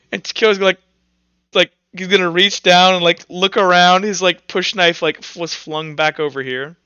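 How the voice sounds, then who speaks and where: Bright, higher-voiced man running a game. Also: steady tone, smooth, dry recording, muffled recording. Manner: halting, informal delivery with many "like"s. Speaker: game master. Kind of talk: improvised tabletop roleplay narration; casual chat with players.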